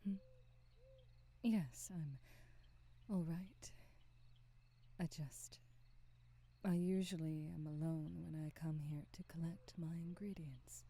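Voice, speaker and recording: Slow, very dark-voiced gentle witch played by a woman. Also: low voice, steady tone, full character voice. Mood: wistful, hesitant. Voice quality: soft, breathy, half-whispered. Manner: slow, gentle, formal old-fashioned phrasing. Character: kindly, wistful witch potion-seller, tender and gentle. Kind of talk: intimate ASMR roleplay, scripted monologue.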